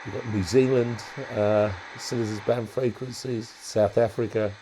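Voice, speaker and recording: A man giving a casual, unscripted walkthrough as a hobbyist presenter, thinking aloud in a halting way. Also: lowish voice, steady tone, noisy background. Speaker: hobbyist presenter demonstrating a modified radio.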